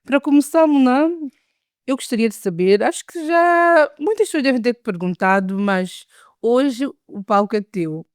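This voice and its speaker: A woman hosting a podcast, asking questions in a gentle, respectful way. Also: fairly high pitch, expressive, normal speed, dry recording, studio quality. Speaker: podcast host. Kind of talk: interview podcast.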